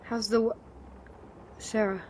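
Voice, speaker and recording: A woman's voice: a little high, level tone, slow pace, darkish tone, a little hiss or hum, poor audio. A woman playing a bitter, guilt-ridden, foul-mouthed woman in an audio drama, blunt and defensive in manner. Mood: subdued, wry.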